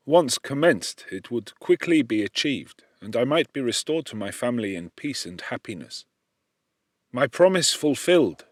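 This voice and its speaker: Guy reading an old novel for an audiobook, average pitch, measured but dramatic, formal wording, really dry room sound. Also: no room sound. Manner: measured, expressive first-person storytelling with formal diction. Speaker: audiobook narrator. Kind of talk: dramatic literary audiobook narration.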